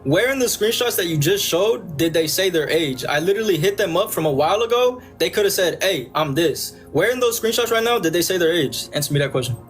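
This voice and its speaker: High-voiced, defensive young man. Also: lively intonation, a little fast, few pauses, soft background music. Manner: defensive, insistent, repeats demands. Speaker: interviewee. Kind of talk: heated argument.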